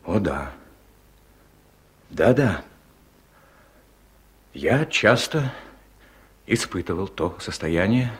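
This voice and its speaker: A low-voiced older man delivers a radio play monologue as an honest, weary farm chairman. He speaks very slowly and pensively, with a husky tone and halting, solemn phrasing. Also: full character voice. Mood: earnest, stirred.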